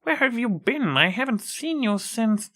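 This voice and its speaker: A man's voice: extremely high pitch, sing-song, measured pace, sharp tone, voice pushed up, full character voice. A man voicing an elderly female pony schoolteacher, kindly, concerned and questioning, in a story reading. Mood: astonished concern.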